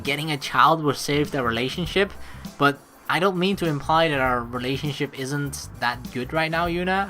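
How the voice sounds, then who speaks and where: Lively, lower-voiced woman doing game commentary. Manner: expressive, sweary, rambling. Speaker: let's play host. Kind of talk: casual game commentary with read-aloud narration.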